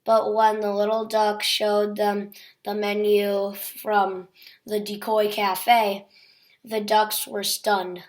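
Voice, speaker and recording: A woman's voice: mid-range voice, monotone, slow. A woman reading a children's picture book aloud as a storyteller.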